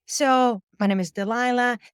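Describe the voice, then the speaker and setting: Smooth-voiced woman chatting with fillers. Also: a little high, steady tone, normal speed, no room sound, clean studio sound. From Europe. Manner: chatty, many fillers, laughs between thoughts. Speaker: guest musician and sound engineer. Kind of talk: casual interview conversation. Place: home recording studio on a boat.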